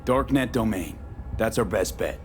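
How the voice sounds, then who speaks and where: A man's voice: fairly low pitch, expressive, a little slow. A man playing a determined street mercenary in a video game, speaking in a terse, cool, matter-of-fact way. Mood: thoughtful. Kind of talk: naturalistic video game acting. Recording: game music and vehicle noise behind.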